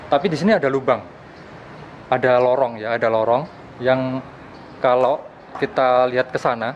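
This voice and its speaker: A young man reviews gadgets in a casual, conversational way. He records outdoors on a handheld camera, with clear street noise around his mid-pitched voice. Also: muffled recording. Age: young adult. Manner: conversational, explanatory. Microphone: handheld camera at arm's length.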